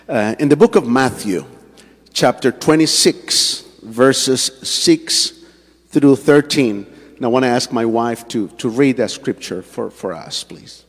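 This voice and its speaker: A man from Colombia with a Colombian Spanish accent, a native Spanish speaker, preaching in English in a halting way with many fillers and restarts. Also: mid-range voice, long reverb tail. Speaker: pastor. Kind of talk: church sermon. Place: church sanctuary.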